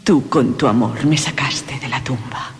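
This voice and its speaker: An actress playing a seductive undead female vampire thirsting for blood in a radio drama, breathy and at times whispering. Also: a bass voice, measured pace. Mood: hungry, possessive. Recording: long hall reverb.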